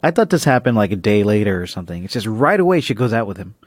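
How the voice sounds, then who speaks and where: A man's voice: lowish voice, sing-song, fast talker, no echo, studio quality. A man co-hosting a podcast, talking casually and conversationally about comic books. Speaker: podcast co-host. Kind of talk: casual comic book discussion.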